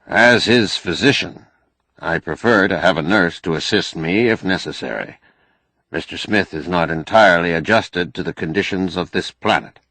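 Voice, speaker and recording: A male narrator plays a calm storybook physician, formal and dry. He speaks in a lowish, precise voice with courteous firmness. The recording is close and dry. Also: normal speed, no echo, heavily acted. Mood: politely firm. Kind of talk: audiobook character acting.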